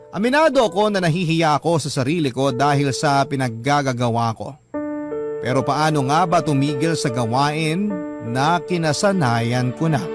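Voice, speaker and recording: A man's voice: on the high side, natural voice. Man acting as regretful Filipino confessor over music. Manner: confessional, earnest. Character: regretful male letter writer confessing his affairs. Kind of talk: scripted first-person radio drama narration. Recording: prominent music behind the narration.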